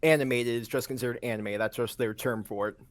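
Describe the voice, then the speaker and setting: A man co-hosting a podcast, explaining and commenting in relaxed, informal conversation. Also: mid-range voice, fast talker, a little hiss or hum. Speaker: podcast co-host. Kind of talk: casual chat.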